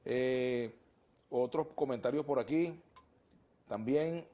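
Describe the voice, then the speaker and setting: A man hosting a TV talk show in a studio, moderating and reading out viewer messages in a brisk, conversational way. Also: mid-range voice, dark tone. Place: television studio.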